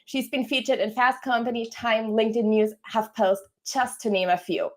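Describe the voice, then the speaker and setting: A woman's voice: fairly high pitch, steady tone, moderate pace. A woman from Germany, a career coach, hosting a live-streamed interview with an upbeat, welcoming manner. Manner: upbeat, welcoming, scripted-sounding intro.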